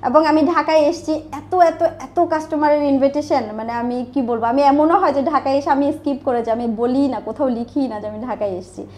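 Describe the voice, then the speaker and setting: A woman's voice: high-pitched, fairly even intonation, brisk, hardly any pauses, a little mellow. A Bangladeshi woman telling personal stories as an interview guest, talkative, repeating phrases and voicing quoted dialogue. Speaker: interview guest, small business owner. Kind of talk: conversational interview, personal storytelling.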